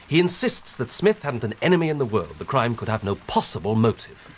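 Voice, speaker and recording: An actor playing an earnest, methodical English police inspector in a radio drama, husky and deferential as he reports. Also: mid-range voice, big pitch swings, slight background noise, lightly acted. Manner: measured, deferential reporting of evidence. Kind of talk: BBC radio drama acting.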